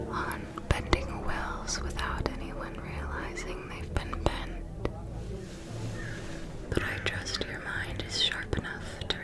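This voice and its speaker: A woman playing a refined, shrewd vampire noble who gossips in an elegant, deliberate manner in a whispered ASMR roleplay. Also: close to an everyday voice. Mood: cautioning, sly. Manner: slow, deliberate, elegant, hushed insinuation.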